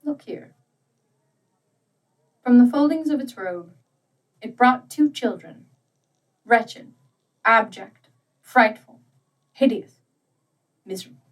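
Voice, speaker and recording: A woman narrating a literary story as an audiobook, with measured, deliberate and dramatic phrasing. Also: on the high side, expressive, far from the mic, poor audio.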